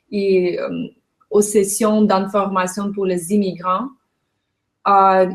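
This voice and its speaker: A woman in a casual video message who recently immigrated. She explains things fluently and informatively, with occasional restarts. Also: mid-range voice. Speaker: immigrant describing her arrival.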